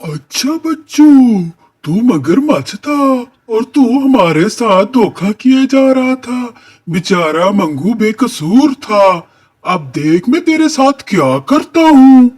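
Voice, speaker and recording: A man performs a blustering cartoon villager with a high voice. He scolds and accuses slowly and emphatically, always ready to threaten. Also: very animated, darkish tone, exaggerated voice. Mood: scolding. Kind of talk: children's cartoon story dialogue.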